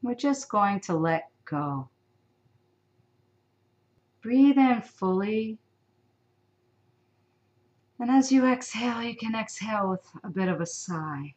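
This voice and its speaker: A woman leading a guided sleep meditation, giving soft, soothing instructions with long pauses. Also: mid-range voice, lively intonation, highs cut off.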